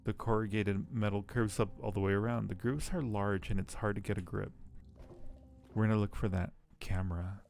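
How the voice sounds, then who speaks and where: Male game streamer, fairly deep with vocal fry, chatting casually and muttering through each move. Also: little pitch movement, moderate pace, a little mellow, a little hiss or hum. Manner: thinks aloud while playing. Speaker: streamer playing a point-and-click game. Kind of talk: casual livestream chat with read-aloud game text.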